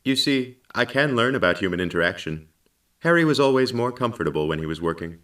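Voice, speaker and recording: An actor playing a calm, helpful ship computer in an audio drama, speaking in a measured, matter-of-fact way. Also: male voice, mid-range voice, recorded from a distance, close to an everyday voice. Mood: reflective. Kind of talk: scripted audio drama acting.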